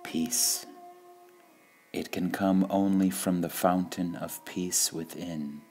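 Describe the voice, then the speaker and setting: A man reads spiritual sayings in a low, deep voice, unhurried and measured. He leaves pauses between phrases, as in a meditative recitation. Faint music plays softly behind him. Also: fairly even intonation. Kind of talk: slow meditative reading of quotations.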